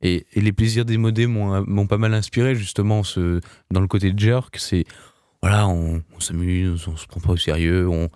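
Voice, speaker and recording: A man's voice: low voice, steady tone, normal speed, a little mellow, clear, resonant tone, no echo, studio quality. A young man, a singer, answering in a casual radio interview, hesitant with many restarts and fillers. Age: young adult.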